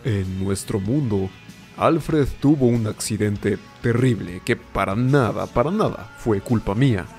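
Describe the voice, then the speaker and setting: A man playing a flippant, self-important superhero with an insistent manner in an animated fan film. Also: on the low side, unhurried, creaky, faint music behind. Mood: pleading. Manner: insistent, boastful.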